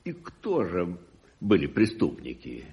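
Wealthy older uncle, swooping low voice. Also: male voice, wildly swooping pitch, slow, vocal fry, exaggerated voice. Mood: curious, expansive. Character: wealthy older uncle, jovial, opinionated, self-assured gentleman. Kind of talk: radio play acting. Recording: dull muffled 1981 radio recording.

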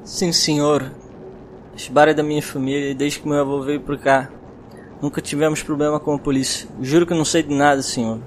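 Man acting a higher-voiced, defensive bar owner. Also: level tone, a little fast, slight background noise, lightly acted. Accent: Brazilian. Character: nervous, defensive male bar owner, plain-spoken and respectful. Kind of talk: audio drama dialogue.

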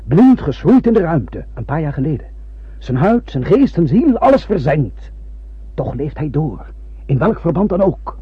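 An actor in a radio play voicing a mysterious, ominous prophet, theatrical and insistent, firing off rhetorical questions and warnings. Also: male voice, fairly high pitch, sing-song, a little slow, husky, dry recording, full character voice. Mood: intense, taunting. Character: mysterious, ominous prophet warning young spacemen off a doomed voyage. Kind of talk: radio drama acting. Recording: dull, dry old radio play recording.

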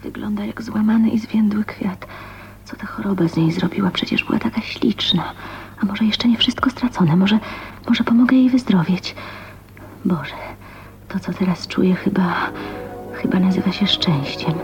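An actress playing a kind young woman thinking aloud in a hushed, whispered radio drama monologue. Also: mid-range voice, normal speed, close to an everyday voice. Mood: pitying. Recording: dull recording under music.

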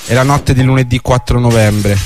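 A man's voice: mid-range voice, fairly even intonation, normal speed, over music. A man reading a scripted historical narration for a radio drama.

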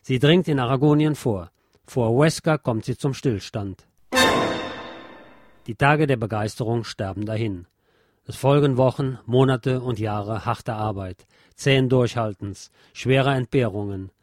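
A man's voice: mid-range voice, unhurried, darkish tone, dry recording, studio quality. A man reading a scripted historical documentary narration as the programme's narrator.

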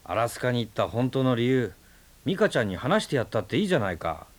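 An actor playing a calm, teasing man who lectures in a relaxed way about talk and existence, in a radio drama. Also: mid-range voice, quick pace. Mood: bantering. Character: easygoing philosophical man, fond of debate. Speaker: storyteller and friend of the aunt.

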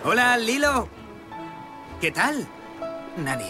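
An easygoing young surfer in an animated children's story. His mid-range voice moves up and down a great deal. Music is clearly audible behind him. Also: very high-pitched, slow pace, exaggerated voice. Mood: friendly.

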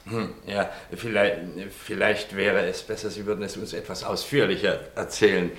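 An actor playing a talkative, easily exasperated private detective in a German radio play, with an emphatic storytelling manner. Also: male voice, on the low side, little pitch movement, normal speed, fairly bright tone, roomy sound, close to an everyday voice. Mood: businesslike, patient.

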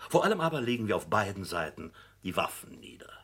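An actor playing a jovial, flattering publisher with an effusive, theatrical manner in a radio drama. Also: male voice, sits low, fast, breathy edge, exaggerated voice. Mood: ingratiating. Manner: effusive, theatrical, full of chuckles. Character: jovial, flattering Parisian publisher, a scheming courtier.

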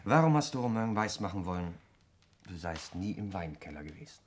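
An actor playing a needling, suspicious brother in a 1960s German radio play, sly, mocking and pointed in manner. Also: male voice, low-pitched, big pitch swings, fairly quick. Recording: faintly noisy 1960s radio recording.

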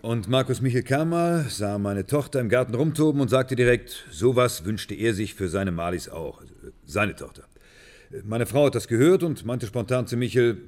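A man playing a composed, courteous government minister in a German radio drama, speaking in a measured, formal way. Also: fairly low pitch, darkish tone, natural voice. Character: composed, courteous male interior minister, firm and condoling.

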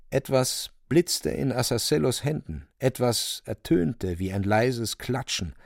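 An actor narrating a dark fantastic radio play as a grave, watchful storyteller. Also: male voice, medium pitch, vocal fry, no echo, clean studio sound.

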